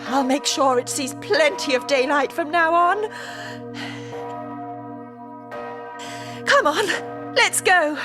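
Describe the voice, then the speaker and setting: A woman's voice: high-pitched, unhurried, over music. An actress playing a gushing, wheedling female lover in an audio drama, theatrical in manner. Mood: coaxing.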